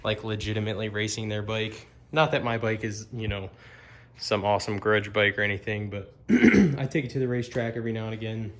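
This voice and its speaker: A man's voice: fairly low pitch, fairly quick. A man giving a casual hands-on product walkthrough in a plain-spoken, somewhat hesitant way. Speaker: amateur motorcycle reviewer. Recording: clear steady background noise.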